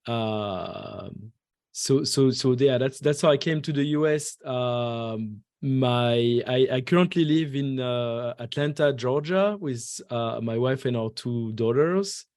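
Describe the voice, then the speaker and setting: A man in his forties from France with French as his first language, a podcast guest who talks haltingly with many fillers and restarts. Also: medium pitch, darkish tone, dry recording, clean studio sound. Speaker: guest investor. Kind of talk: podcast interview.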